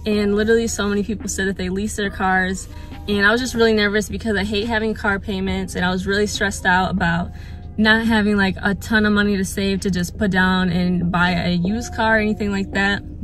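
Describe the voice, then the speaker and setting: A woman's voice: medium pitch, a bit bright. Chatty young woman vlogging in a car. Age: 20s. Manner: chatty, earnest, many fillers and repeats. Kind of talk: casual chat to camera. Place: inside a parked car. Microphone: phone held at arm's length or mounted on dashboard.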